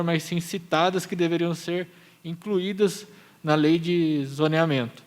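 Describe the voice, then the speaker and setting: A man with a Brazilian accent and a slightly high voice speaks as a municipal planning presenter. He explains things in a measured way, as at a formal public hearing.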